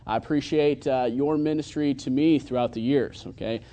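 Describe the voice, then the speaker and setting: A man in his thirties from Michigan, a pastor preaching and praying earnestly, pausing often with filler sounds. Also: medium pitch, dark tone. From Michigan, USA. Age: 30s. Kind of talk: church sermon and prayer.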